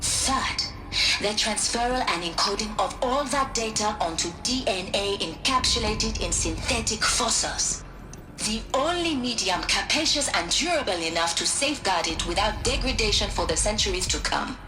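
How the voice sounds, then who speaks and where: A woman voicing a dignified, earnest scholar in a video game, giving a measured, solemn formal speech. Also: medium pitch, animated, close to an everyday voice. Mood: solemn, resolute. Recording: echoing room, music underneath.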